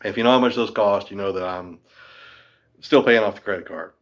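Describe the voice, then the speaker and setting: A man's voice: fairly low pitch, brisk, lo-fi sound. A man in his fifties talking casually to camera about guitars, chatty and rambling with a self-deprecating streak. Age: 50s. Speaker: guitar teacher.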